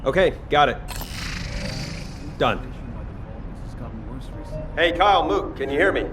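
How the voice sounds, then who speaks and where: An actor playing a reluctant, casual chef fighter in a video game, informal and given to grumbling. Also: male voice, on the high side, lively intonation, slow pace, music in the background. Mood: easygoing.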